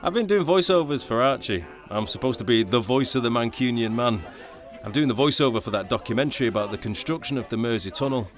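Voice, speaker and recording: An actor playing an earnest, good-humoured young working-class political songwriter, in a radio play. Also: male voice, mid-range voice, lively intonation, quick pace, a faint crowd, lightly acted. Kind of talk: radio play acting and narration.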